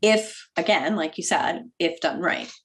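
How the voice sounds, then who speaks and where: A woman in her forties, a doctor guest on a video call, explaining practical tips in a clear, instructive way through wired earbuds. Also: fairly low pitch, a little slow. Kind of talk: interview answers, practical tips. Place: home office with bookshelf.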